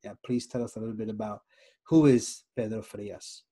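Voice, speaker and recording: Mellow, even-toned male podcast host. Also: fairly low pitch, normal speed, breathy edge, dry recording. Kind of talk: interview introduction and questions. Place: indoor room, on a video call.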